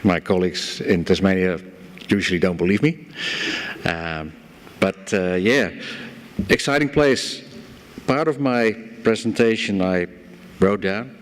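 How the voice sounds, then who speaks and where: A man's voice: sits low, measured pace. A man from the Netherlands with a Dutch accent, speaking to a conference audience and telling stories with a light, self-deprecating humor. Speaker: guest speaker. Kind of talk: conference presentation. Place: conference hall. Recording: hall reverb from a lecture room.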